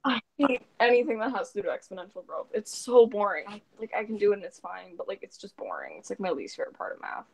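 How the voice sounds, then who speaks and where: A young woman talking casually on a live stream through her phone, in a flat monotone. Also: medium pitch, fast talker, creaky, breathy edge, rough recording. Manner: flat, self-described monotone, mumbling. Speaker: guest. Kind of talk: casual chat. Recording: dry phone audio.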